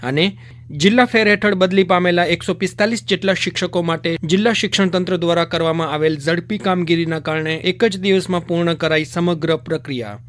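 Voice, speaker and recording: A man's voice: high voice, fairly quick, fairly bright tone. A man reading scripted radio news as the presenter.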